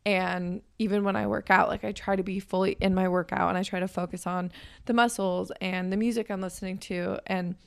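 A hoarse young woman in her twenties hosting a podcast in a chatty, upbeat way, her voice raspy from just waking up. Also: medium pitch, fairly even intonation, a little fast. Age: 20s. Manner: chatty and upbeat, with frequent fillers. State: hoarse from waking up and a weekend of talking. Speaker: podcast host and personal trainer. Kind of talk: casual chat.

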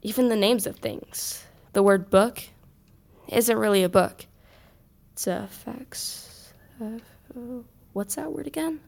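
An actress playing an earnest student giving a thoughtful, deliberate class presentation in an audio drama. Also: female voice, a little high, lively intonation, gravelly, a little mellow, exaggerated voice.

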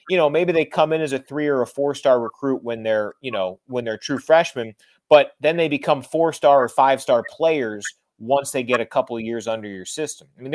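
A man's voice: medium pitch, no room sound. A man talking sports as a guest analyst, explaining his points emphatically and repeating phrases to drive them home. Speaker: radio play-by-play announcer, guest analyst.

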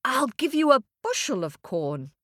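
A woman's voice: high-pitched, big pitch swings, a little slow, fairly bright tone, no room sound, clean studio sound, pitched above the performer's own voice, heavily acted. A woman voicing a worried little boy pleading for help in a children's story. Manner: pleading, eager.

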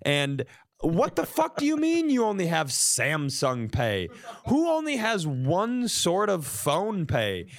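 A man's voice: fairly high pitch, huge pitch swings, slow. A man hosting a podcast, telling personal stories as comic banter in a casual, mock-indignant, profane way and acting out exchanges.

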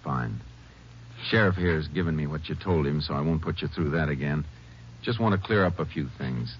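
A middle-aged American lawman with an extremely low voice, courteous and sparing with words. The style is 1950s western radio drama, and the recording is dull with faint noise. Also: expressive. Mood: calm, probing. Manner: measured, laconic, polite questioning. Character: calm, courteous middle-aged male Texas Ranger investigator.

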